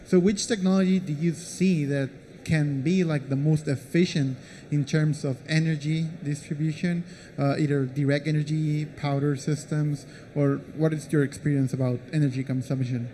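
A man's voice: fairly high pitch, fairly even intonation, normal speed, dark tone, a little hiss or hum. A man in the audience asking the panel a question.

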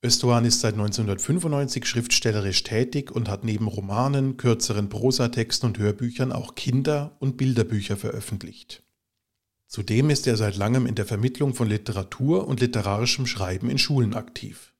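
A man hosting a podcast, reading a formal, measured introduction and then asking his guest questions. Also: mid-range voice. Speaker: podcast host. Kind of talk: scripted introduction and interviewing.

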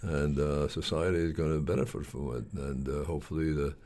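An older man speaking as an interview guest in a halting, rambling, conversational way, often repeating his phrases. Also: rumbling low, steady tone, mellow.

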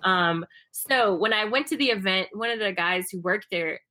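A woman's voice: medium pitch, moderate pace, bright, forceful tone, low-quality recording. A young woman from the United States talking casually and reflectively, with many fillers and restarts. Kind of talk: casual conversation.

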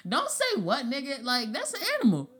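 Young woman co-host, casual blunt banter, very animated pitch. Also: brisk. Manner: blunt, profane, laughing banter. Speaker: podcast host. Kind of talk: casual chat.

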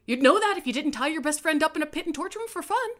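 A woman's voice: high voice, animated, very fast, a bit bright. A woman playing a blunt, easily irritated, sarcastic young tomboy in a comic radio play. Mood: defensive. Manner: blunt, defensive, gruffly sarcastic.

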